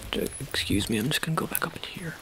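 A man in his thirties doing a soft-spoken, whispery ASMR doctor roleplay in a hesitant, halting mumble, close to the mic. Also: on the high side, animated. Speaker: ASMR roleplayer playing an eye surgeon. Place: small dim room, indoors. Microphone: close to the mic, near camera. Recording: noisy close-up home recording with clicks.

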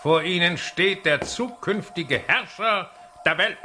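An actor playing a megalomaniac mad scientist villain in a radio play, with theatrical, mock-courteous, drawn-out delivery. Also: male voice, high voice, sharp tone, faint music behind, full character voice. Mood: gloating, mocking.

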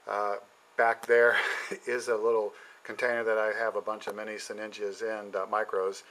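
A man's fairly low voice with a bright, forward edge, talking his way through his basement grow shelves. He hesitates often, filling gaps with ums and uhs and clearing his throat now and then. The sound comes from a handheld camera mic held close to the plants. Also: little pitch movement, normal speed, a little hiss or hum, poor audio. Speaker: home plant grower. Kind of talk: casual narrated plant tour.

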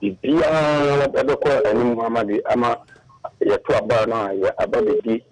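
A man from Ghana calling in to a radio show, speaking haltingly with many hesitations. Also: mid-range voice, fairly even intonation, darkish tone, dry recording. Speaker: caller. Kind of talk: phoned-in political opinion. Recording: phone line on air.

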